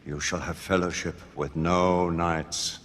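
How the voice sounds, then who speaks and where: A man's voice: deep voice, level tone, takes it slowly, fairly bright tone. An actor playing a grave, tormented aging Lord Chancellor and father in a video game drama, speaking solemnly and formally. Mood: grave, commanding. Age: older. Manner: solemn, formal, weighty delivery. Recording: long hall reverb, clear background noise.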